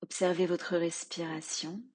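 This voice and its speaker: Breathy, slow woman guiding deep relaxation. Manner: calm, measured instruction with long pauses. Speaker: yoga nidra teacher. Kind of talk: guided relaxation meditation.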